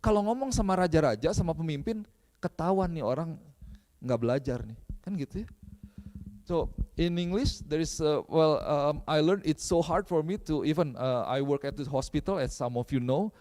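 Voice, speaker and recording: A man with an Indonesian accent preaching a sermon in a church, mixing languages and pausing on fillers. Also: fairly high pitch, a little mellow. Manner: switches between English and Indonesian, many fillers. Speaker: pastor. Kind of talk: bilingual sermon. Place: church hall. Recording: long hall reverb.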